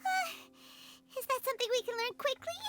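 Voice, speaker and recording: A tiny fairy sidekick from a video game, with an extremely high, squeaky child's voice. The delivery swings lively up and down, chirpy and a little nervous, over clear background music. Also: female voice, fairly quick. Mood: puzzled.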